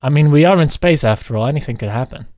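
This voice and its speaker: A man chatting casually and excitably while playing a co-op game, joking and exclaiming as he goes. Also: a little high, fast talker, dry recording, clean studio sound. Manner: jokey, exclaiming. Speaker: gamer playing a co-op roleplay map. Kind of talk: excitable casual gameplay chat.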